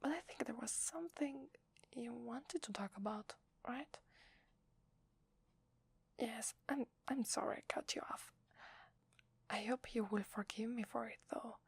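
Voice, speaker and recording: A woman with an Italian accent playing a gentle, loving young wife in a whispered, hesitant ASMR roleplay. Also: a little high, dry recording, heavily acted. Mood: gentle, apologetic. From Italy. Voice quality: breathy, whispery. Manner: slow, hesitant, tender. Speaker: ASMR voice actor.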